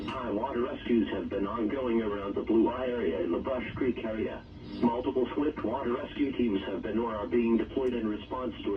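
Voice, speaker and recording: A man's voice: medium pitch, noisy background. Muffled synthetic male weather radio announcer. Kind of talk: formal scripted emergency weather bulletin. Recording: muffled weather radio broadcast, distant, music behind.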